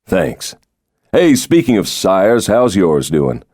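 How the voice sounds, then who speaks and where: A middle-aged country doctor as a male audiobook reader plays him, reserved and plain-spoken. The voice sits low and the delivery is low-key and sincere, recorded dry with no room sound. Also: very animated, takes it slowly, darkish tone, dry recording, heavily acted. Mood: friendly.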